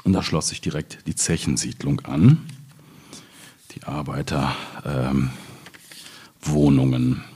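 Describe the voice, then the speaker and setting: A man's voice: a bass voice, takes it slowly, pauses often, mellow, husky. A man from the Ruhr area in Germany, a poet talking casually and explaining things at a reading.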